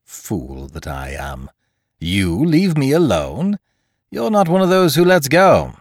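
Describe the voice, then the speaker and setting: A man reading aloud as a cocky, playful gentleman thief who teases and mocks in a theatrical way. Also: wildly swooping pitch, slow pace, no echo, clean studio sound, exaggerated voice. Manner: teasing, theatrical swings in pitch. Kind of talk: audiobook character reading.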